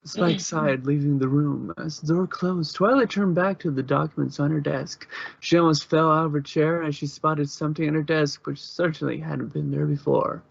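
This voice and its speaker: A man's voice: a little high, normal speed, gravelly, no echo, highs cut off. A man reading scripted story narration, as the narrator of an amateur audio drama.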